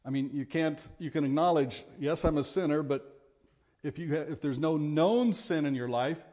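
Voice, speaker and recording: A middle-aged man with a big voice preaching a sermon, earnest and at times forceful in his exhortations. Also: on the high side, normal speed.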